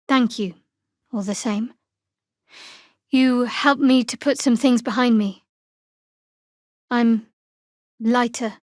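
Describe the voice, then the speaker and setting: A woman playing a guarded, devout, dryly sardonic young cleric in a video game, speaking in a measured way. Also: fairly high pitch, a little mellow, no room sound, studio quality. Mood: earnest, tender.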